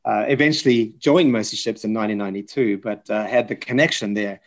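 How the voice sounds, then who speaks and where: An older man with a mixed South African and New Zealand accent, telling personal stories reflectively as an interview guest, in a halting, hesitant way. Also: on the low side. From South Africa, later New Zealand. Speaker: podcast guest, physician and chaplain.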